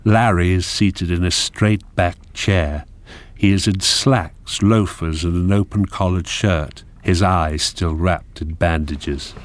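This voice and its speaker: A man narrating a radio drama, reading scripted scene descriptions in a measured, descriptive way. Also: sits low, steady tone. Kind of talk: scripted screenplay narration in present tense.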